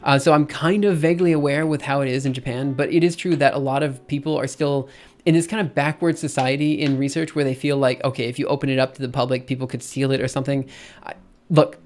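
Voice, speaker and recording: A man in his thirties talking casually on a live stream, thinking out loud with lots of fillers and restarts. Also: fairly high pitch. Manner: rambling, thinks aloud with many fillers and restarts.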